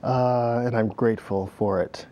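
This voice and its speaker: A man's voice: medium pitch, fairly even intonation, measured pace, mellow. A middle-aged man, a dance director interviewed as a guest in a TV studio, telling reflective stories with many fillers and easy laughter. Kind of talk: conversational interview. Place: TV studio set.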